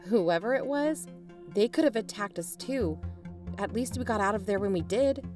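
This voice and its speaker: A woman's voice: medium pitch, expressive, normal speed, lightly acted. A woman playing a cautious, worried investigator's partner in a fiction podcast drama, urgent and cautioning. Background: music.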